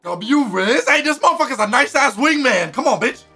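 A young man in his twenties, a streamer giving crude, loud, excitable commentary while playing a game, talking into a headset in his bedroom. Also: extremely high pitch, normal speed, breathy edge, soft background music. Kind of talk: crude live gaming commentary. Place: pink-lit bedroom, indoors.

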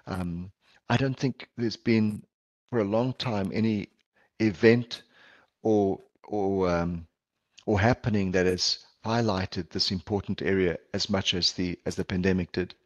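Low-voiced South African man presenting. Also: steady tone, no echo. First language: Portuguese. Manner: earnest, measured, with frequent ums and restarts. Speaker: palliative care physician presenter. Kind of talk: conference presentation over video call. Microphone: video call headset or laptop mic.

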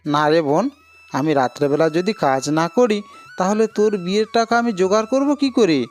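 Poor, selfless elder brother played by a man, cartoon story; higher-set male voice, earnest, humble, pleading. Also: brisk, soft background music, close to an everyday voice. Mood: earnest, weary. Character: poor, self-sacrificing, humble elder brother, a village labourer. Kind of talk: Bengali moral-story cartoon acting.

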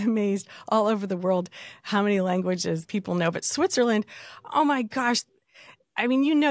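A woman's voice: lowish voice, dry recording, clean studio sound. A middle-aged woman hosting an interview, effusive and chatty, often restarting her questions. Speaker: podcast host. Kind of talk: casual interview talk.

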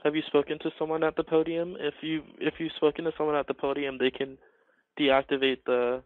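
A man working in cinema customer service, speaking politely and haltingly on a phone call, often repeating himself. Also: on the high side, little pitch movement. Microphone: telephone call. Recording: tinny muffled phone line.

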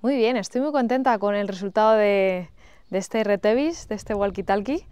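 A woman's voice: mid-range voice, moderate pace, a little mellow, clean tone, no room sound. A young woman, presenting a product in a casual way outdoors on a lapel mic. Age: young adult. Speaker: video presenter. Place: outdoors beside a village garden wall. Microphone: clip-on lapel mic.

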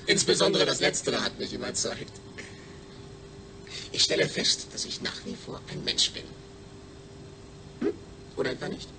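A man's voice: mid-range voice, big pitch swings, normal speed, gravelly, pauses often, background noise. A man playing an eager, needy astronaut's brain speaking emphatically through a speech synthesizer in a radio drama. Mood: reflective. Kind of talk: German radio drama acting.

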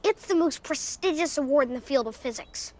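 A man's voice: extremely high pitch, expressive, a little fast. A young male actor playing an earnest, precocious science-obsessed boy in a sitcom, speaking precisely and formally. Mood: insistent. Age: child. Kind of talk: sitcom acting.

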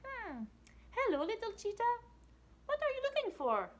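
A woman's voice: very high-pitched, wildly swooping pitch, moderate pace, pitched above the performer's own voice, exaggerated voice. A woman voicing a tiny, helpful female firefly in a storybook, chirpy and singsong. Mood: friendly.

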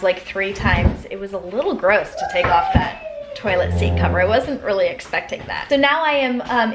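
An upbeat young woman talks to her camera while she cooks and does jobs around the house, chatty and eager. Her pitch sits right in the middle for a woman. A clear hum of household noise runs under her voice. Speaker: homemaking vlogger. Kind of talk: casual chat to camera. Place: home kitchen and small bathroom. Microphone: handheld camera at arm's length.

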